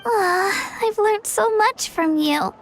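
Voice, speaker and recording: A woman's voice: extremely high pitch, slow, soft background music, full character voice. A woman voicing an earnest, friendly young girl adventurer in an anime game, admiring and encouraging. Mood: warm, admiring.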